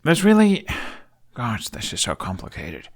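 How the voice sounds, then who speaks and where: A man voicing an earnest, irritable young Viking in an audiobook, speaking in a halting, insistent, exasperated way. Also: medium pitch, huge pitch swings. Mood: hesitant, pleading. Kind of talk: audiobook character acting.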